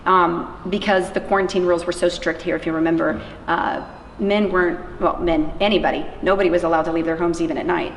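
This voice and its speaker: A woman's voice: on the low side, fairly even intonation, quick pace. A woman giving informal, emphatic conversational answers in an interview about her work. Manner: emphatic, informal, illustrates points with acted-out quotes. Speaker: interviewee, anti-trafficking worker. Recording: echoey, reverberant room with clear background noise.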